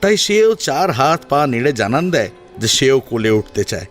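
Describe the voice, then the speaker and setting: A man reads a dramatic story. His mid-range voice moves up and down expressively. Soft plucked sitar music plays behind him. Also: medium pitch, big pitch swings, moderate pace. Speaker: story narrator. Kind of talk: dramatic audiobook story narration.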